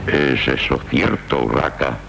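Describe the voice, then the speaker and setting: An actor playing a grave, paternal medieval king, stately and deliberate in a 1970s Spanish radio drama. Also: extremely low pitch, expressive, gravelly, fairly bright tone, heavily acted. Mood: measured authority. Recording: noisy 1970s radio tape.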